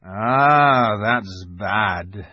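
A man voicing a grave, kindly old rustic beaver in a storybook, speaking slowly and emphatically in a folksy, knowing way. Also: lowish voice, lively intonation, bright, forceful tone, no room sound, muffled recording, exaggerated voice. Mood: gloomy. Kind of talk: dramatized storybook reading.

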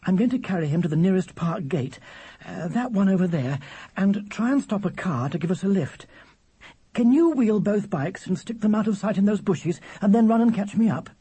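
A man's voice: sits high, lively intonation, normal speed, dark tone, husky, no room sound, pitched above the performer's own voice, exaggerated voice. A man voicing a worried mother who takes charge in a storybook, urgent and practical.

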